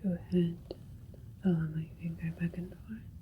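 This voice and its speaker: A woman playing a calm, clinical alien doctor in an ASMR sci-fi roleplay, whispering breathy, soothing, methodical instructions. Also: fairly low pitch, moderate pace, narrow, tinny audio, natural voice. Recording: faint ambient noise. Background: faint spaceship ambience.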